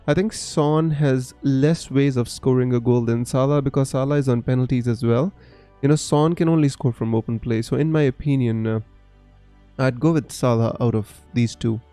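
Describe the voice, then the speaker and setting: A man with a mellow, dark-toned voice chats casually with viewers on a live stream, reading their comments aloud. He fills his answers with "uh" and "you know" and calls everyone "my friend". Faint music plays in the background. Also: mid-range voice. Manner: friendly, chatty, many fillers, calls viewers fellas and my friend. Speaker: fantasy football streamer.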